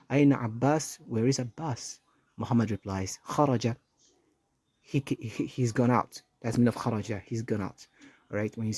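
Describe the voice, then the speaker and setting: A man's voice: medium pitch, warm tone, breathy edge, no echo. A man teaching an Arabic lesson, explaining each rule patiently and repeating points with small fillers.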